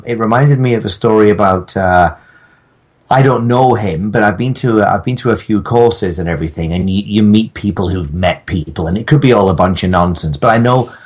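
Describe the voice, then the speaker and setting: A man with a lowish, husky voice talks as a podcast guest. He tells anecdotes casually, circling and pausing as he rambles toward his point. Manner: halting, rambling storyteller.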